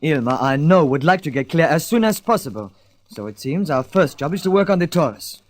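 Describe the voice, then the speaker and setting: An actor playing an earnest, measured spaceship pilot in an old-fashioned radio drama, speaking formally and precisely. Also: male voice, a little high, expressive, close to an everyday voice. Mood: insistent. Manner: formal, precise, uncontracted speech.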